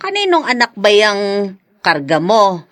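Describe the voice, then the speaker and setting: A woman's voice: medium pitch, very animated, unhurried, no room sound. An actress voicing a kindly, middle-aged mother who chats with exclamations in a radio drama. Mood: chatty. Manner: warm, chatty, exclamatory with "ay". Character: middle-aged mother, kindly, worried about her daughter.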